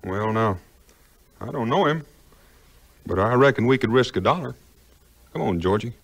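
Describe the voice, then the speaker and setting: A man's voice: medium pitch, lively intonation, moderate pace, vocal fry. An actor playing an easygoing, honest frontiersman with a folksy Southern US drawl in a 1950s record drama. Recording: 1950s vinyl record, music behind.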